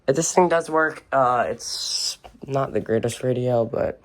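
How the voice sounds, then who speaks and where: A video host giving a casual, unscripted show-and-tell indoors in an informal, halting way. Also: medium pitch. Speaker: video host and weather radio collector. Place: indoors, in a room with shelves of radios.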